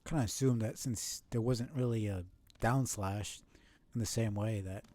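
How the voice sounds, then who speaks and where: A man's voice: lowish voice, normal speed, dark tone. A man streaming a game live, thinking aloud casually, often correcting himself and swearing mildly. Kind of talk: casual live gameplay commentary.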